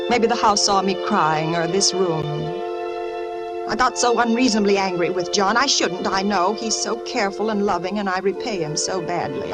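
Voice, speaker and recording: An actress playing a fragile, nervous young wife confiding in a secret journal, in intense, dramatic 1940s radio style. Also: female voice, mid-range voice, lightly acted. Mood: remorseful, brooding. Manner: intense, brooding, dramatic delivery. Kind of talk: 1940s radio acting, confessional narration. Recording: old radio recording with music behind.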